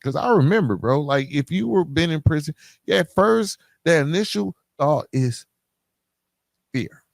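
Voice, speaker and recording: A man's voice: fairly high pitch, animated, unhurried, creaky, no room sound. American man hosting a podcast, dry close sound. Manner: emphatic, often checking in with the listener; colloquial street-inflected slang. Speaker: podcast host. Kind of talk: conversational solo podcast monologue.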